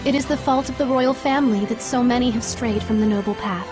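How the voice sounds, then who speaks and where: A female actor voices a noble young princess from a fantasy game. Her high voice is composed and gracious, with courteous, formal phrasing. Music plays clearly behind her. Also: brisk, natural voice. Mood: gracious, earnest. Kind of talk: dubbed fantasy game cutscene acting.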